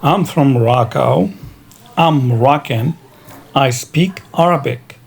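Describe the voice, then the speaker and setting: A man teaching English vocabulary, reading country and nationality words in a slow, deliberate drill. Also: mid-range voice. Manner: slow, deliberate, spells out each word. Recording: clear background noise.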